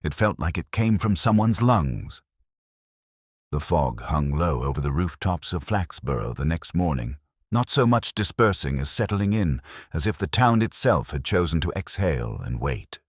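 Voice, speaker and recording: Super low husky guy narrating a mystery story, lively up and down, dry close sound. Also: normal speed, no room sound. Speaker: audiobook-style narrator.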